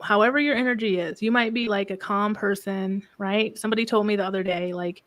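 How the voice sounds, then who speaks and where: Woman, soft voice, calm, reflective, conversational coach. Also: mid-range voice, a little fast. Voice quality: soft-spoken. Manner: calm, reflective, frequent "right?" tags. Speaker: business coach for women. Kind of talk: casual conversational interview.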